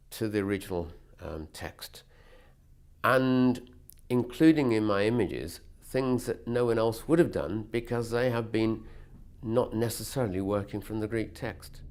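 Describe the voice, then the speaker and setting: A man's voice: lowish voice, a little slow. A man giving a considered explanation of his work in an interview. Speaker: artist. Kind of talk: interview talk.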